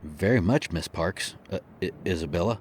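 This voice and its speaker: Deep but unsure: a stammering, tempted houseguest in horror audio, faint ambience behind. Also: male voice, expressive, normal speed, a little hiss or hum. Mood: flustered. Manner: hesitant, stammering. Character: flustered, hesitant man being seduced. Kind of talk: horror audio drama acting.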